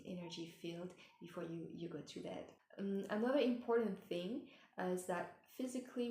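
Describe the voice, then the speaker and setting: A woman giving gentle spiritual advice in a meandering way, with many fillers and restarts, and she is losing her voice. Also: on the low side, vocal fry, roomy sound, slight background noise. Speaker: spiritual mentor. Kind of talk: casual advice talk.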